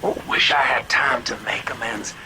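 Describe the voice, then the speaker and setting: A man's voice: medium pitch, fairly even intonation, normal speed, background noise, natural voice. An actor playing a slick, world-weary older businessman in a video game, with a folksy, familiar drawl. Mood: pained, resigned.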